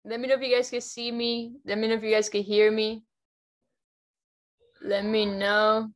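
A woman's voice: a little high, little pitch movement, moderate pace. A young woman from Cuba, speaking as a guest educator in an upbeat, casual way on a video call from her bedroom, often repeating and restarting words. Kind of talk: casual motivational talk. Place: bedroom at home.

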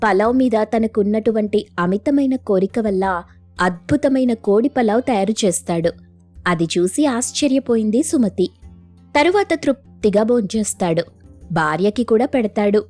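A woman's voice: mid-range voice, expressive, moderate pace, darkish tone, soft background music. A woman narrating a scripted moral folk tale as the storyteller.

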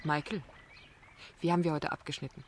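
Casting: actress as young female barrister, lowish voice, shrewd, persistent, probing radio-drama delivery. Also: steady tone, brisk, a little hiss or hum, natural voice. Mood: intimate, thoughtful. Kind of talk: German radio drama acting.

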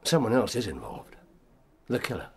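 A man with an English accent acting in a radio drama as a brilliant, unrepentant detective, speaking briskly and articulately. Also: lowish voice, huge pitch swings, gravelly, slightly rough, heavily acted. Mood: brisk, intrigued. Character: brilliant, unrepentant, self-assured male detective.

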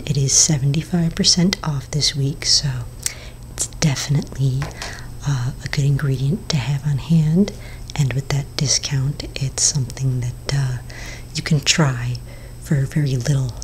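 A woman whispers close to the mic in a low, hushed voice, unhurried and soft. Faint hiss sits under her words in a small indoor room. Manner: whispering, slow and gentle, with fillers. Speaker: ASMR roleplay potion shopkeeper. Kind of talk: ASMR whispered roleplay. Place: small indoor room before shelves of bottles.

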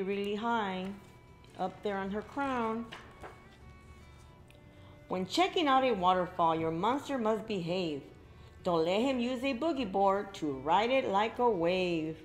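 A middle-aged woman reads a picture book to young children. Her pace is slow and her pitch swings in lively, expressive arcs, with exclaimed asides to the listeners. Faint music can be heard. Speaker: librarian reading at children's story time. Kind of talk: read-aloud storytelling for young children. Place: indoor library room with bookshelves.

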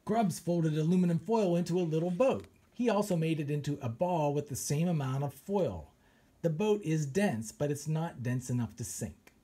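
A man, a children's author, reads a science picture book aloud with animated storytelling and pirate flair. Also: fairly high pitch, normal speed, husky. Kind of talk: expressive read-aloud.

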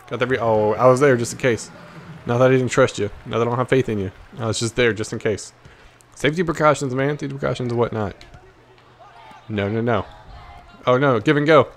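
American man, casual gameplay commentary, excitable, playful trash talk, muttered asides, medium pitch, light background game noise. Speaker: gaming video host. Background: basketball video game sounds.

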